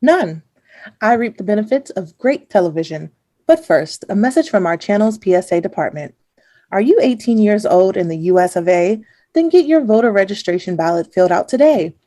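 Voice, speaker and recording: A woman's voice: mid-range voice, expressive, brisk, mellow, lightly acted. A woman playing a playful, self-amused narrator in a comedic radio play, breezy and tongue-in-cheek. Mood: obliging.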